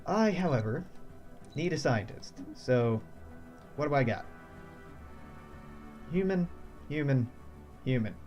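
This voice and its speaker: A man's voice: mid-range voice, expressive, slow, long pauses, darkish tone, dull audio. Young adult male streamer, mid-range voice, laid-back and chuckly, faint music underneath. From United States. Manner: thinks aloud, chuckles often. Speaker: game streamer. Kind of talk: casual let's-play commentary.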